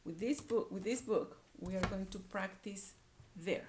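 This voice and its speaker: A woman's voice: mid-range voice, a little slow, background noise. A woman teaching a read-aloud lesson to young children, repeating words and phrases and coaxing her listeners along with questions. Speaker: early-grade reading teacher.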